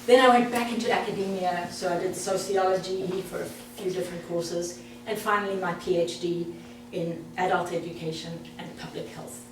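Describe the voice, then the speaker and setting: A woman's voice: fairly low pitch, steady tone, normal speed, hardly any pauses, noisy background. South African woman speaking in an echoey hall. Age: 60s. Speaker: guest speaker. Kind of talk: presentation to an audience. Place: meeting hall. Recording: echoey hall, mic far off.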